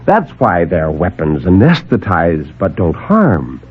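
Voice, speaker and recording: An actor playing a desperate escaped captive who pleads urgently and haltingly to be believed, in 1950s radio drama style. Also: male voice, low voice, huge pitch swings, breathy edge, exaggerated voice. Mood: urgent, fearful. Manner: urgent, emphatic, halting delivery. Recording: narrowband old AM radio recording.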